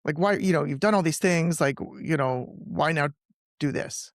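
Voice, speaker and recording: A man hosting a conversational interview, often halting and rephrasing his questions as he goes. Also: fairly high pitch, dry recording, studio quality. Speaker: podcast host and interviewer; film teacher.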